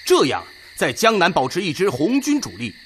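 An actor in a Chinese radio drama playing an assertive, argumentative Red Army officer who speaks emphatically and insistently. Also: male voice, sits high, huge pitch swings, brisk, fairly bright tone, a little hiss or hum, heavily acted. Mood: persuasive, resolute.

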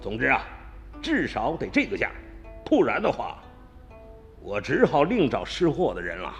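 A cunning tomb-raider chief, voiced by a man, bargaining with easy confidence in a radio play. His speech rises and falls with lively swings, and soft music plays underneath. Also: medium pitch, moderate pace. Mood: scheming. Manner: sly, haggling, confident. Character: scheming male tomb-raider gang boss, middle-aged. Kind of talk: radio drama acting.

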